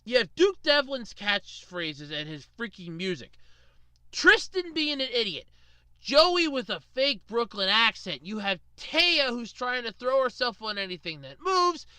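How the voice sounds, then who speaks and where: High, animated, exasperated woman ranting. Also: unhurried, clean tone, no room sound, rough recording. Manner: exasperated, sarcastic, emphatic. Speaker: video ranter. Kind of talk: opinionated rant to viewers.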